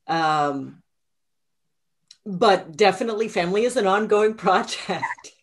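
A woman's voice: medium pitch, expressive, a little slow, slight background noise. A woman in middle adulthood talking conversationally and thoughtfully as a guest author on a video call. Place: home room with bookshelves, indoors. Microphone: video call computer microphone.

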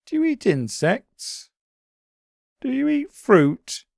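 A man's voice: extremely high pitch, sing-song, takes it slowly, vocal fry, a little mellow, no room sound, clean studio sound, voice pushed up, exaggerated voice. A man voicing a naive, curious young rabbit in a wondering, questioning manner for a storybook. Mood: innocently curious.

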